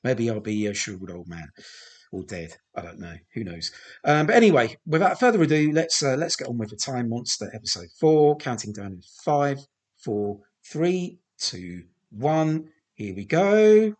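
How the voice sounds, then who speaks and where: Man, croaky, husky, lively pitch, rambling, hesitant podcast host. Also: moderate pace. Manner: rambling, hesitant, many fillers and restarts; self-deprecating. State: slightly croaky voice. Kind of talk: casual film commentary.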